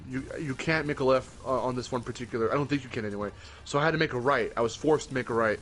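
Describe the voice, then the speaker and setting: A man's voice: medium pitch, racing, fairly bright tone, slight background noise. A young man with a groggy morning voice, chatting casually as a podcast co-host, rambling and correcting himself, with the odd swear word. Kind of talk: casual chat.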